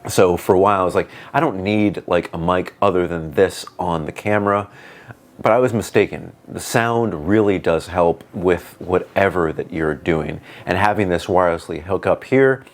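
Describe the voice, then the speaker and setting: A man with a booming voice giving a casual, rambling product review on a clip-on wireless mic, with lots of "like" and "um". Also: moderate pace. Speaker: tech reviewer. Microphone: wireless clip-on lapel mic.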